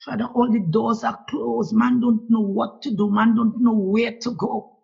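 A woman's voice: a little high, moderate pace, creaky, husky. A woman from Trinidad with a Trinidadian accent, leading a fervent spoken prayer that keeps returning to "Lord" as she pleads. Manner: fervent and pleading, with "Lord" repeated in a steady rhythm. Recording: dull lo-fi recording.

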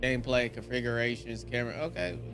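A man's voice: medium pitch, quick pace, gravelly. A man giving casual, slangy gameplay commentary in a playful, teasing manner on a headset. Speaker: let's play streamer. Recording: game soundtrack loud behind voice. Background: video game music.

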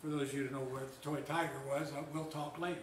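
An older man, a pastor, gives a seated sermon in a measured, storytelling way with gentle humor. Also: on the high side, level tone, a little hiss or hum. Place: church lounge with fireplace, indoors. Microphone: far camera. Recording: echoey room, voice heard from a distance.

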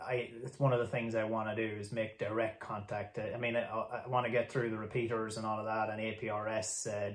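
An Irish man in his forties rambling casually and appreciatively to camera as a hobbyist host. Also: on the low side. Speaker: video host, amateur radio hobbyist. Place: small indoor home radio room. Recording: roomy home-office sound.

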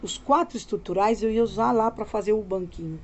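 A woman's voice: medium pitch, fairly quick, a little mellow, highs cut off. A woman in her forties from Minas Gerais, with a Minas accent, chatting loosely to her viewers in a casual home vlog, recorded on a phone held close while lying in bed. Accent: Brazilian Portuguese, Minas Gerais. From Minas Gerais, Brazil. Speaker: vlogger. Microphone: phone camera held close.

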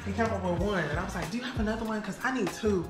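A woman in her home kitchen shows off her purchases in an excited, playful vlog. She talks in a lively way, full of slang and catchwords she keeps repeating. The room echoes, music plays clearly behind her, and the camera sits a few steps away. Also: mid-range voice, very fast. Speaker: vlogger. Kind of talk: excited casual vlog, grocery haul. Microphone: camera on the counter, a few steps away.

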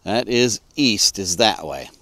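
Low-voiced middle-aged American man, folksy vlogger. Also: animated, slow, vocal fry, bright, forceful tone, no room sound. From United States. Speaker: ham radio hobbyist and video host. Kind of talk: casual explanatory vlog. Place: outdoors in an open field, partly inside a parked car.

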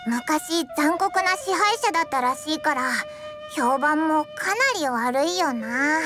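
A woman voicing a small, childlike, excitable fairy companion in an anime video game, with a squeaky voice and blunt, exclamatory, boyish casual speech. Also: slow. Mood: puzzled. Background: game music.

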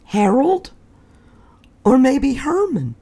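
A woman's voice: high-pitched, very animated, slow, mellow, slightly rough, pitched above the performer's own voice, exaggerated voice. A woman voicing a small, polite, earnest young male porcupine in a children's storybook. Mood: polite, curious.

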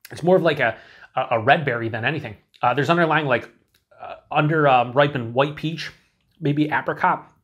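A man's voice: a little high, moderate pace, fairly bright tone, husky. A man in his forties, chatting casually to camera as he reviews a beer, thinking aloud with lots of fillers and restarts, in a small indoor room.

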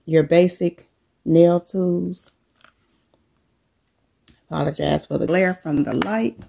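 A middle-aged woman coming down with a cold, showing the contents of her bag to viewers in a relaxed, unhurried chat from her living room. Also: lowish voice, creaky, slightly rough. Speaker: vlogger. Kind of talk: casual show-and-tell chat.

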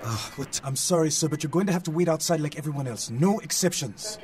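Male actor as overworked emergency doctor, slightly high pitch, brusque, officious, formal, video game dialogue. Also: animated, quick pace, a little mellow, close to an everyday voice. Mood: brusque, hurried.

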